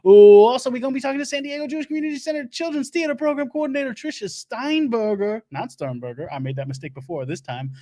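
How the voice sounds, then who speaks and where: Male comic doing a high-voiced, put-on Louisiana doomsday-prep host, rambly and self-important. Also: lively intonation, fast talker, few pauses, warm tone. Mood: rambling. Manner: rambling, stumbling, laughs at own jokes. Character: bumbling self-proclaimed Southern doomsday-prep lecturer man. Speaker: comedy show host. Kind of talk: improvised comic monologue. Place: home studio.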